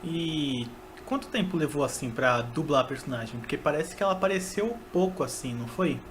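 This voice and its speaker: A Brazilian man hosting a casual podcast interview, speaking in a hesitant, halting way as he asks questions. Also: on the high side, expressive, a little hiss or hum.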